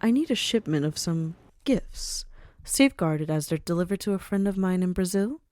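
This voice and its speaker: A woman playing a commanding, cultured mafia boss in a scripted audio drama, poised and grandly formal, with sly warmth. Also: lowish voice, normal speed, no room sound, clean studio sound, lightly acted. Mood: earnest, businesslike. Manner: poised, grandly formal, uses endearments like dearie. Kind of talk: scripted audio roleplay acting.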